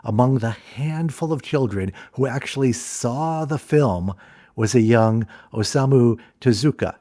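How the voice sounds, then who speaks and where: A man giving scripted documentary narration about film history, measured and deliberate. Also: medium pitch, dark tone, no room sound, studio quality.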